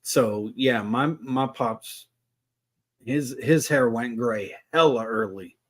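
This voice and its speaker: A man's voice: medium pitch, slow pace, a bit bright. A man in his forties chatting casually, easygoing, with frequent cursing and laughter. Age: 40s. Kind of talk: casual chat.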